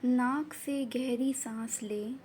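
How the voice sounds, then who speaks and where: Soft mellow lady doing guided meditation, super slow and gentle, bit of background ambience. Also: on the high side, steady tone. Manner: gentle, soothing, unhurried instructions in short phrases. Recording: faint background noise and ambience.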